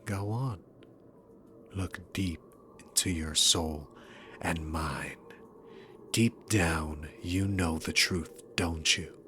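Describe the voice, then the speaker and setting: Man acting a deep, slow demon king villain. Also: bright, forceful tone, slight background noise, full character voice. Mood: menacing. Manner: taunting, theatrical, drawn-out condescension. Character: dominant, sarcastic male demon king, mocking and arrogant villain. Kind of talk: ASMR roleplay voice acting.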